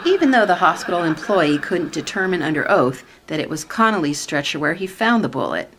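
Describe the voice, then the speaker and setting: A woman plays a skeptical government staffer in a radio comedy. Her voice is a little low, and she delivers her doubts in a wry, measured way. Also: expressive, a bit bright, slight background noise. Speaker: actor in a radio play. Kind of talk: sitcom-style radio comedy acting.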